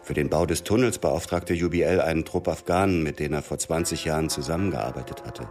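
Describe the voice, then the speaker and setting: An actor playing a matter-of-fact insider in a radio drama, explaining things in a precise, factual way. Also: male voice, a bass voice, fast, darkish tone, faint music behind. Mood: factual, composed. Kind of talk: scripted radio drama account.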